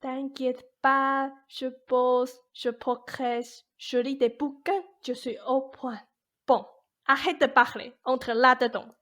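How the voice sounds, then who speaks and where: A woman's voice: sits high, steady tone, slow, pushed and bright, exaggerated voice. A woman acting as a jaded, ancient immortal fairy godmother in a radio play, blunt, colloquial and mischievous. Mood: excited, breezy. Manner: blunt, colloquial, world-weary. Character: jaded 874-year-old immortal fairy godmother, grumbling but mischievous.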